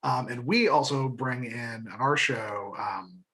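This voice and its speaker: A man with an average-pitched voice speaks as a creator guesting on a panel. He is enthusiastic but halting, doubling back on words while he explains things in a casual way. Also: sharp tone, poor audio. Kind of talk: casual panel talk, explaining his show.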